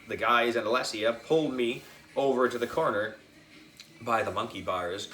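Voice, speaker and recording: A man narrating a children's book in an animated storytelling style. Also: fairly low pitch, reverberant. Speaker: audiobook reader.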